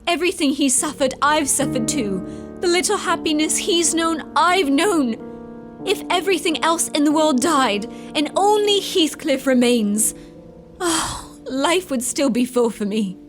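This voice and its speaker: A woman's voice: high-pitched, lively intonation, unhurried, music in the background. A young woman playing a passionate, torn young lady of the moors in a melodramatic radio drama. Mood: impassioned.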